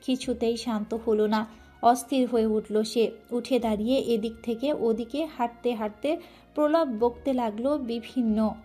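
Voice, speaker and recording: A woman reads a romantic story aloud with emotive, dramatic delivery. Her voice is mellow and soft yet pitched somewhat high, and faint music plays under her reading. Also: fairly even intonation, normal speed. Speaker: story narrator. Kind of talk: scripted storytelling narration.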